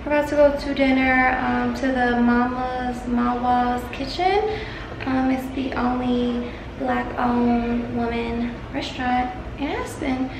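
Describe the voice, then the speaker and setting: A chatty young woman films herself at arm's length, her voice high and her pace unhurried. She talks playfully to the camera, and the handheld audio carries a clear bed of background noise. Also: steady tone, echoey room. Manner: chatty, playful, self-filming. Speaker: vlogger. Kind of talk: casual vlog chat. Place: rental house and outdoors in snow.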